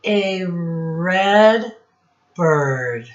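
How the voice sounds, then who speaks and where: A woman's voice: lowish voice, expressive, very slow, muffled recording. A woman leading a storytime lesson for young children, encouraging them and asking them questions directly. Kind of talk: read-aloud lesson quizzing young children.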